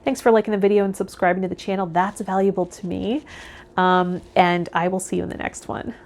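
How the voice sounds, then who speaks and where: Female tarot vlog host, medium pitch, measured and instructive, addressing viewers directly. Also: little pitch movement, darkish tone. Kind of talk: reading tarot card meanings aloud, then casual guided talk to viewers.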